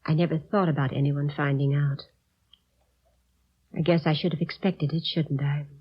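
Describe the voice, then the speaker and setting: An actress playing a fussy, genteel older housewife in a 1950s radio drama, politely defiant and easily distracted. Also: female voice, low-pitched, fairly even intonation, normal speed, slightly rough. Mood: wistful, earnest. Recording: dull vintage radio recording.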